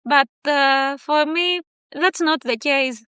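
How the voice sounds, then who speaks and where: A high-pitched woman whose native tongue is Greek answers questions about her poetry, pausing often and filling gaps with soft hesitations. Her voice is bright and clear, recorded close and dry with no room sound. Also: no room sound. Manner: thoughtful, hesitant with many fillers. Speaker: poet guest. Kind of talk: casual interview answers.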